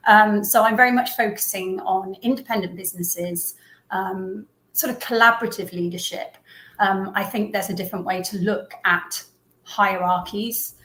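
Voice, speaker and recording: A woman's voice: medium pitch, moderate pace, fairly bright tone. An English woman talks as an interview guest, thoughtful and friendly, with plenty of ums. Her voice sits in a middle register. The room around her is noticeably echoey. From England, Herefordshire. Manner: thoughtful, friendly, laughs easily, frequent ums. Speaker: interview guest, business owner. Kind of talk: casual interview. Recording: roomy echo.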